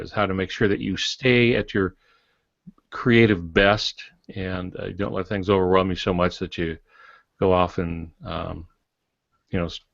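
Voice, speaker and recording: Male writer on a podcast, low register, reflective and halting conversational delivery, muffled audio. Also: vocal fry, fairly bright tone. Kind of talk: conversational explanation with anecdotes. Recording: dull, echoey room recording.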